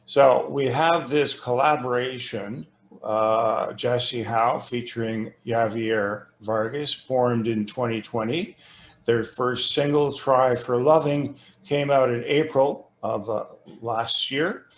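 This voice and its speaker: A man hosting an interview over an internet call, reading an introduction in a halting, rambling way. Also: mid-range voice, fairly even intonation. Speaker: host interviewing a musician. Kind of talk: conversational interview, introduction read from notes. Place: remote internet video call. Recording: roomy, echoing internet call audio.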